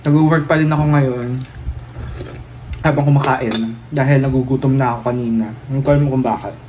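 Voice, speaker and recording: A man's voice: medium pitch, brisk, a little hiss or hum. A man in his thirties with a Filipino accent, chatting casually and animatedly to a phone camera at arm's length in a small apartment room. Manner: chatty, animated, laughing asides, mixing English into Filipino. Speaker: vlogger. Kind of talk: casual daily vlog chat.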